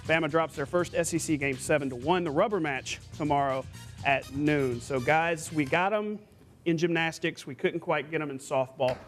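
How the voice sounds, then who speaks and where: Male sportscaster, high-pitched, punchy scripted highlight delivery, faint music underneath. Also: moderate pace. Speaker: sports anchor.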